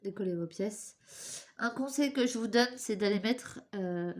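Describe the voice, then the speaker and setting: A woman's voice: mid-range voice, unhurried. A young woman with a cold and a stuffy nose, hosting a casual step-by-step craft tutorial and chatting apologetically while she works with her hands.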